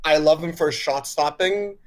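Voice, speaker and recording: A man's voice: on the high side, a little slow. A man who grew up in Switzerland but is American, with a mixed international accent, calling in by video to chat eagerly about his football club. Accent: mixed American and Swiss international. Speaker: caller.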